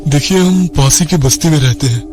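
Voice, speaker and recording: A man's voice: a little high, a little fast, music in the background, close to an everyday voice. A man playing an earnest, worried villager with questions in a horror audio story. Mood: worried, urgent. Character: worried male villager searching for missing children.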